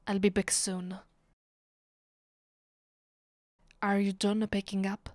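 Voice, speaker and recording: A woman with an Italian accent playing a bitter, possessive, centuries-old vampire aristocrat in an intimate ASMR roleplay, speaking softly and dramatically, often dropping into a breathy whisper. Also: medium pitch, level tone, dry recording, close to an everyday voice. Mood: commanding, intimate. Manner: slow, dramatic, imperious.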